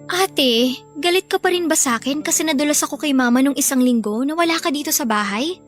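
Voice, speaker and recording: A woman's voice: high-pitched, fairly quick, soft background music, natural voice. A woman playing a hurt, affectionate younger sister in a Filipino radio drama, pleading earnestly with a Filipino accent. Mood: apologetic, hurt. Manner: plaintive, earnest.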